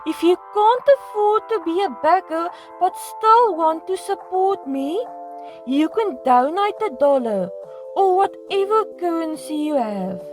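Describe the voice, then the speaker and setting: Girl storyteller, super high voice, really animated, dramatic and jokey, music behind her. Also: unhurried. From South Africa. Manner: dramatic, self-mocking. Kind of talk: scripted comedic storytime narration.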